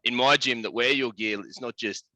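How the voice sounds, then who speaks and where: A man's voice: mid-range voice, normal speed, pushed and bright, dry recording, rough recording. A man co-hosting a podcast, laid-back and encouraging in casual conversation. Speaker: podcast co-host and gym owner.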